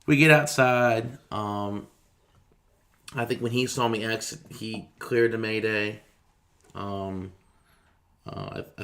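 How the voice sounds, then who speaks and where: A man's voice: on the low side, a bit bright. A man speaking as a firefighter in an interview, recounting his own experience in a plain, understated way.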